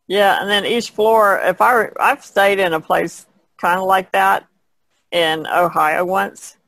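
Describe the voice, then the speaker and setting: A woman's voice: mid-range voice, fairly even intonation, measured pace, a bit bright, dry recording. A woman co-hosting a podcast in casual, reflective chat, plainspoken and restating her points.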